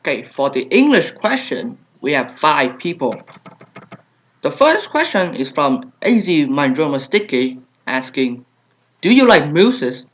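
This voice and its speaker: A man's voice: a little high, big pitch swings, unhurried. Young Vietnamese-accented guy, lo-fi sound. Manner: hesitant, many fillers and soft trailing asides. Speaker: YouTuber answering viewer questions. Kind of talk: casual Q&A vlog. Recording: dull lo-fi home recording.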